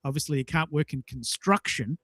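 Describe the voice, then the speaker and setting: Higher-pitched enthusiastic Australian man. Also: a little fast, creaky, a bit bright, no echo, clean studio sound. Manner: enthusiastic, emphatic. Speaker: show host. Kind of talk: casual chat.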